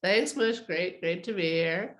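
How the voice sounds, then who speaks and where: A woman's voice: fairly low pitch, measured pace, echoey room. A middle-aged woman speaking as an interview guest in a casual, conversational style. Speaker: interview guest, artist advisor.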